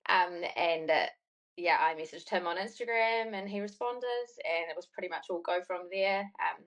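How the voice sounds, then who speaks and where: A woman's voice: on the low side, moderate pace, fairly bright tone. A young woman from New Zealand with a New Zealand accent, a podcast guest chatting loosely and telling a story, full of fillers. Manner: chatty, rambling, many fillers and "like". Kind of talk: casual storytelling interview.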